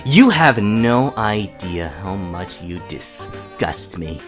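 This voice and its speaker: A performer voicing a hate-filled internet troll, speaking slowly and deliberately with open contempt in a fan radio play. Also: low voice, big pitch swings, music in the background, exaggerated voice. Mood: menacing contempt. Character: menacing, hate-filled internet troll making slow death threats.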